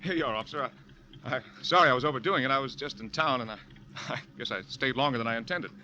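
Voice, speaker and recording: A young actor playing a guilty, panicking husband who narrates his own story in a halting, stammering way, in 1940s radio drama style. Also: medium pitch, bright, forceful tone, muffled recording, a little hiss or hum. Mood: tense. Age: young adult. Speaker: narrator and lead.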